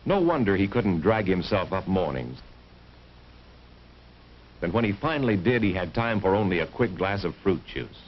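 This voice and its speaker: A man's voice: low voice, very animated, moderate pace, pauses often. A man narrating a vintage 1950s educational film in a scripted, dramatic storytelling manner with rhetorical emphasis. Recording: muffled, hissy old film soundtrack.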